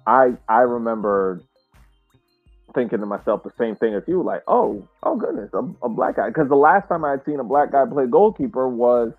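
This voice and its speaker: A man hosting a casual soccer podcast discussion, speaking haltingly and repeating words as he reflects. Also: medium pitch, sing-song, faint music behind. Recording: muffled, roomy sound.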